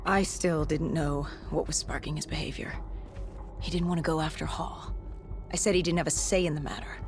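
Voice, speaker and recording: Actress as grave female soldier, low voice, weary war-story narration over music, game cutscene. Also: fairly even intonation, moderate pace, lightly acted. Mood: haunted, grim. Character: haunted female soldier recounting a zombie apocalypse. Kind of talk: dramatic first-person story narration. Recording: music behind the voice.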